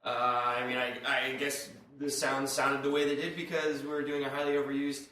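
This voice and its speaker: A man's voice: medium pitch, flat delivery, moderate pace, sharp tone, lightly acted. A man playing a meek, ordinary bystander in live sketch comedy, speaking awkwardly with nervous, hesitant stalling. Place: live comedy show in a hall. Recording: echoey hall, distant mic, faint noise.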